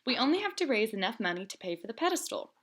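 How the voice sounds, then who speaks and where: A woman voicing a kind, upbeat immigrant father in a read-aloud storybook, reading gently and reassuringly. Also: a little high, very animated, fast talker. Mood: gentle.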